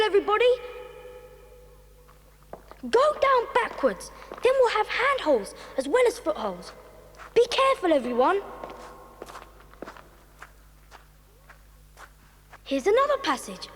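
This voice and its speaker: Young boy adventurer, very high voice, animated, bossy, earnest, exclamatory, children's audio drama, echoey noisy recording. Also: exaggerated voice. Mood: urgent, cautioning. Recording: echoey reverberant hall, noisy.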